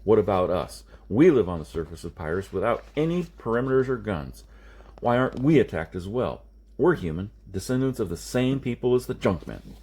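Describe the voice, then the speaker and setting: Man reading as blunt, forceful outlander leader, husky. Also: on the low side, big pitch swings, a little fast, exaggerated voice. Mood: challenging. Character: quick-minded male outlander leader, blunt and questioning. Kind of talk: audiobook character dialogue.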